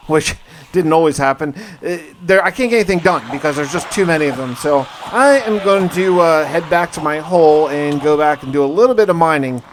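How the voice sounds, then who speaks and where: Male gaming storyteller, voice a bit on the high side, casual first-person narration with dramatic delivery and colloquial asides. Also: a little hiss or hum, poor audio. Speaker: gaming video host and narrator.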